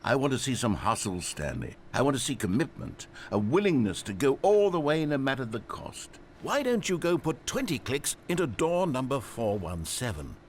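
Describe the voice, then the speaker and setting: Man acting as pompous game narrator, swooping pitch. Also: very animated, moderate pace, few pauses, a little hiss or hum, close to an everyday voice. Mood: demanding. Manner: pompous, theatrical, wordy. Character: pompous, fussy male narrator goading the player. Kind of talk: scripted video game narration.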